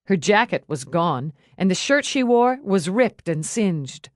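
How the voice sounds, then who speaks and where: A woman's voice: mid-range voice, very animated, a little slow, no room sound, clean studio sound. A woman reads scripted fiction expressively as an audiobook narrator.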